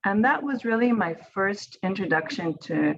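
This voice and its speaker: A woman's voice: mid-range voice, lively intonation, normal speed, creaky. A woman with an Israeli accent, Hebrew her first language, eagerly telling her story as a winemaker on a webinar panel over a video call. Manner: friendly, eager, with small restarts. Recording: lo-fi webinar audio.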